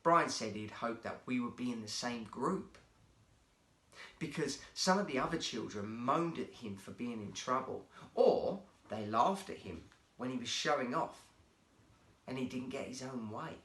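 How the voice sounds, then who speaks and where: A man narrating a children's story as a guest storyteller, in an animated, theatrical manner. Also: mid-range voice, a little slow. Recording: roomy, echoey sound.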